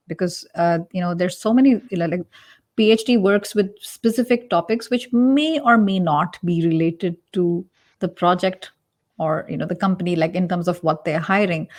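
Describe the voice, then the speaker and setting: A woman moderating a panel discussion, chatty and full of fillers as she leads into each question. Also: mid-range voice. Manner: chatty, leans on "you know" and "like".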